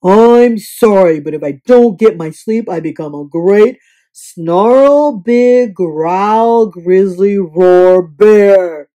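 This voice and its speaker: Woman voicing a slow, grumpy big storybook bear. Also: mid-range voice, dark tone, highs cut off, pitched below the performer's own voice, natural voice. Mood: grumpy apology. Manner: slow, drawn-out, grumbling.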